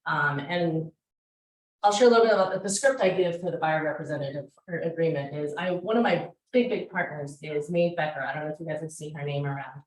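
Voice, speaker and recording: A woman teaching a real estate class, chatty and energetic, with many fillers. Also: deep voice, brisk. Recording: roomy classroom echo.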